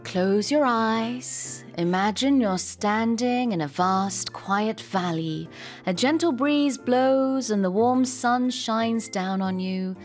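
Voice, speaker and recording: A woman's voice: fairly high pitch, slow pace, faint music behind. A woman playing a bossy, exasperated interview coach in a comedy skit, giving firm instructions. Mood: firm, soothing. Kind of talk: comedic language-lesson skit.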